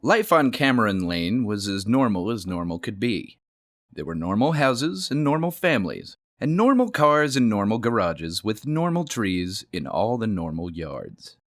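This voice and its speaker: A man's voice: mid-range voice, big pitch swings, moderate pace. A man narrating a children's storybook aloud with playful, dramatic emphasis. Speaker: storybook reader.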